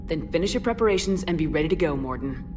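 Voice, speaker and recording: A woman's voice: low-pitched, lively intonation, fast talker, background noise. An actress playing a stern female military commander who speaks tersely and bluntly. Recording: game audio with music bed.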